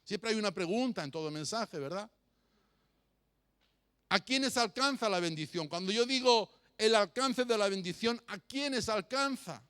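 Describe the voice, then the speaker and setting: Male pastor, high smooth voice, emphatic sermon delivery with deliberate repetition. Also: animated, sharp tone. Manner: emphatic, repeats phrases for effect; rhetorical questions addressed to listeners. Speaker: pastor preaching to a congregation.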